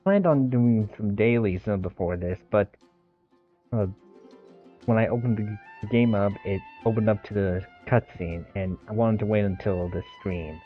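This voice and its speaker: A man streaming a game live, chatting informally in a hesitant, halting, low-key way. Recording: dull lo-fi mic under game music. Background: game music.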